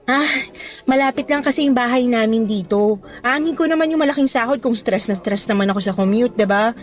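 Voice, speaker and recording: A young woman teacher played by a radio drama actress speaks in a fairly high voice. She is cheerful, chatty and appreciative, a hopeful newcomer with a touch of nerves. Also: fairly quick, natural voice. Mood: warm, sincere. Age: young adult. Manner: bright-spirited, chatty, appreciative. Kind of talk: conversational Tagalog radio drama acting.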